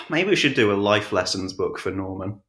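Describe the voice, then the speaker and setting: Low-voiced man in an echoey room. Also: animated, moderate pace, fairly bright tone. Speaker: podcast guest and audiobook narrator. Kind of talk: casual interview chat. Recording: echoey, roomy sound.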